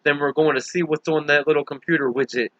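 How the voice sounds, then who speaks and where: A man's voice: fairly high pitch, steady tone, fast talker, a bit bright, no echo, dull audio, close to an everyday voice. Man reading as a folksy, skeptical older sheriff. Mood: commanding. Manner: folksy, dry, takes charge. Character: older heavyset small-town sheriff, skeptical and commanding. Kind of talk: audiobook character voice.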